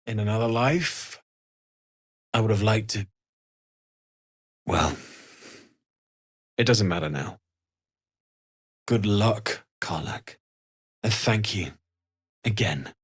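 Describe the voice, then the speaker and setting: A man playing an earnest, kindly blacksmith in a video game, weary from surviving the hells, speaking with gentle, understated sincerity. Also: fairly low pitch, slow, pauses often, slightly rough. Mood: wistful warmth. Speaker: video game character actor. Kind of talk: acted video game dialogue.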